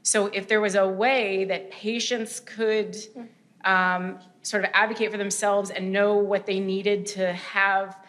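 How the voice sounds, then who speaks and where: A woman's voice: mid-range voice, level tone, slow, fairly bright tone. A woman clinician on a panel, working through a point in long, thoughtful sentences. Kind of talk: panel discussion remarks.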